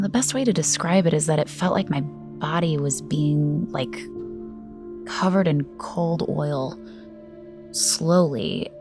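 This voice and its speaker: A woman playing a practical, thoughtful character in an audio drama, measured, conversational and gently direct. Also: low-pitched.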